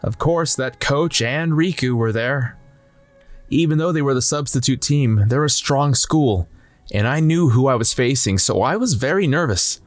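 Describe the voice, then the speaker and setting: A man's voice: medium pitch, expressive, normal speed, dry recording, faint music behind. A man narrating a manga story in the first person from a script.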